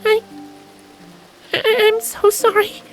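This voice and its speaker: A man's voice: very high-pitched, completely flat delivery, slow pace, a bit bright, soft background music, pitched above the performer's own voice, heavily acted. A man voicing a timid, frightened little filly pony in a storybook reading, with a trembling, pleading manner. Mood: tearful, apologetic.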